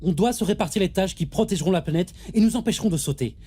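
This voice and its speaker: A woman's voice: lowish voice, animated, fast talker, warm tone, breathy edge. A woman playing a stern, suspicious spaceship captain in an audio drama. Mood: grave. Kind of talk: French audio drama acting.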